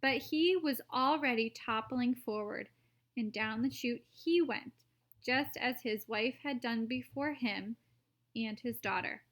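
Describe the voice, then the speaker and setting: A woman reading a children's story aloud in an expressive, theatrical narrating style. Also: fairly high pitch, measured pace.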